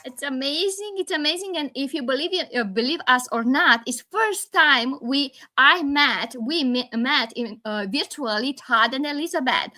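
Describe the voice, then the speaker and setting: A woman in her forties from Romania with a Romanian accent, hosting a live video call chat, animated and halting with frequent restarts and laughs. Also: sits high. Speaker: host.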